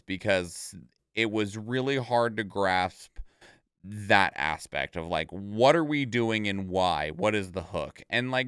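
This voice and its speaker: Male streamer, casual reaction commentary. Clean resonant voice, dry recording, thinks aloud in halting, rambling runs. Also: lowish voice, fairly bright tone, smooth, dry recording. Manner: rambling, thinking aloud with stop-and-start phrasing. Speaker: video creator and streamer reacting to game trailers.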